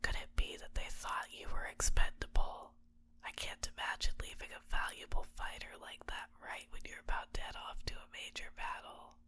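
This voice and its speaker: A woman voicing a possessive, manipulative villainess in an intimate ASMR roleplay, soft-spoken and coaxing, teasing and sometimes whispering to a captive. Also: lowish voice, normal speed, lightly acted. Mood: whispered, insinuating.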